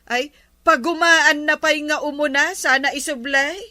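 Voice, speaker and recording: A woman's voice: high voice, steady tone, unhurried, bright, forceful tone, no echo, studio quality. A woman playing an exasperated village woman in an Ilocano comedy drama, scolding emphatically and refusing to lend her things. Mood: firm refusal.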